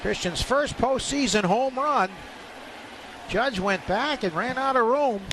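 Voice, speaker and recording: A man's voice: extremely high pitch, lively intonation, slow. A man doing live radio play-by-play commentary of a baseball game from a stadium booth. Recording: noisy outdoor stadium ambience.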